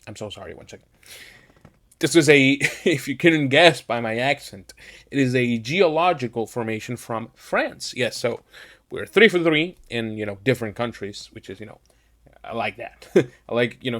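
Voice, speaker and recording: A man's voice: mid-range voice, a bit bright. A man talks through a ranking list in a casual, unscripted way. He rambles with plenty of filler phrases, sounding enthusiastic about his subject. His voice sits in an ordinary middle range. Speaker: tier-list host.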